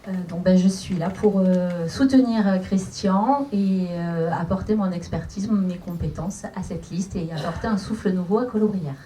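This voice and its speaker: A woman candidate introducing herself at a public meeting, halting with frequent fillers. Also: on the low side, mellow. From France. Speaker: municipal list candidate. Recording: distant mic in a room with faint noise.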